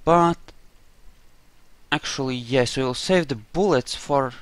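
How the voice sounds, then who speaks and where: A man's voice: mid-range voice, slow pace, a bit bright, no echo. A man giving casual let's play commentary on a roleplaying game, thinking aloud in a rambling way as he goes. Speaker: gamer narrating his own roleplay playthrough.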